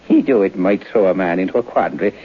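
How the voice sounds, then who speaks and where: A man's voice: fairly low pitch, breathy edge. An actor playing a morbid, garrulous old Irish local man of seventy-nine in an old radio drama, cackling, folksy and deferential. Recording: dull lo-fi old radio recording.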